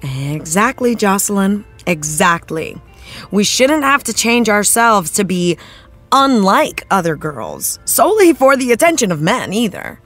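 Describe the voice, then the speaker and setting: A woman's voice: mid-range voice, sing-song, measured pace, fairly bright tone, faint music behind. A woman playing an earnest, thoughtful TV actress in an interview, searching for her words in a conversational way. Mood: sincere, emphatic. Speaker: interviewed actress, after-show guest.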